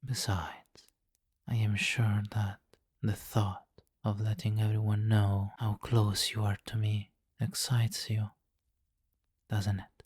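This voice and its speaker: A man playing a possessive, teasing vampire king in an intimate ASMR roleplay, commanding and breathy, often slipping into whispers on a close mic. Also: fairly low pitch, level tone, slow pace, darkish tone, no echo. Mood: teasing, amused. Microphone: close microphone, dry.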